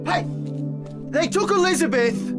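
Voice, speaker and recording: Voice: medium pitch, lively intonation, normal speed, loud music behind the voice, lightly acted. A performer playing an earnest, clueless young hero in an improvised comic film dub, awkward and blurting. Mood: urgent. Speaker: improv fandub voice actor.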